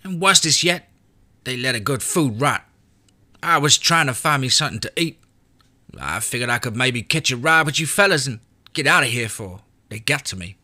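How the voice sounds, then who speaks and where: A man's voice: mid-range voice, lively intonation, moderate pace, pushed and bright, voice pushed up. A man voicing a scrappy, hungry young boy with a drawl and defiant pride. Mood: earnest. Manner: folksy backwoods drawl, pleading to defiant.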